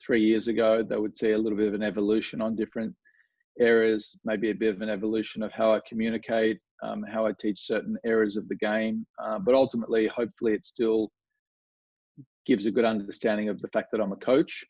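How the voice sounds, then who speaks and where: A man's voice: lowish voice, brisk, dry recording. Australian male guest, easygoing and meandering, muffled close dry audio. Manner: relaxed, rambling with many fillers and restarts. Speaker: golf coach, guest. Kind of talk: casual conversational interview.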